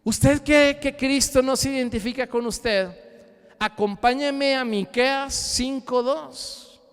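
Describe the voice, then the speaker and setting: A man preaching a sermon as pastor, swinging between loud rising cries and hushed low asides. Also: slow pace, clear, resonant tone, big-room sound. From Mexico. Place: church sanctuary.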